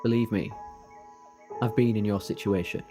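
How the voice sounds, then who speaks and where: A man's voice: on the low side, fairly even intonation, normal speed, over music, lightly acted. A man playing an eager, ageless time-travelling adventurer in an audio drama, earnest and persuasive with flippant asides. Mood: wistful, confessional. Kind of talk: fan audio drama acting.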